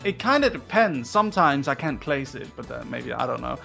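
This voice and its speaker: A man's voice: medium pitch, sing-song, brisk, creaky, pushed and bright, soft background music. A man from Denmark whose English has a British accent learned in school. He chats casually as a guest, laughing often and swearing freely. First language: Danish. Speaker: VTuber guest. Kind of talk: casual chat.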